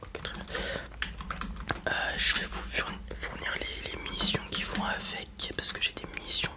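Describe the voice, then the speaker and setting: A man playing a blunt, casual space robot merchant in a whispered ASMR roleplay, muttering hesitantly in a breathy voice. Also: moderate pace, pushed and bright. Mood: businesslike, wary. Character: gruff, casual male space robot merchant, wary but kind.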